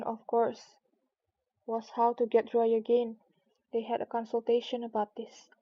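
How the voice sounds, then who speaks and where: A woman's voice: a little high, no echo. A woman streamer talking casually and reading a storybook aloud, in a slightly muffled recording.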